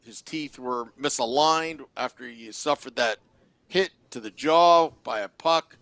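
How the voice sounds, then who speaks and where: A man's voice: mid-range voice, measured pace, fairly bright tone, no room sound. A man hosting a live hockey game-day show, talking into a close studio microphone. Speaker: sports podcast host.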